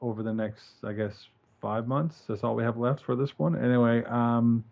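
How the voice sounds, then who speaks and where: A man's voice: mid-range voice, moderate pace, creaky, muffled recording. A man with a Newfoundland accent, talking casually on a podcast and explaining a project with many ums. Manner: relaxed storytelling with fillers. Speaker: podcast co-host.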